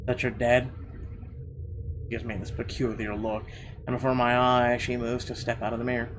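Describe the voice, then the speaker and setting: A man's voice: medium pitch, monotone, normal speed, noisy background. A man hosting a gaming video, reading visual novel narration aloud and adding his own comments. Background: game music.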